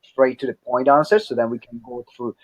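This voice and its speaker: A man with an Italian accent, hosting a podcast and reading out listener questions, halting with fillers and restarts. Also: medium pitch, steady tone, poor audio. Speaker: podcast host.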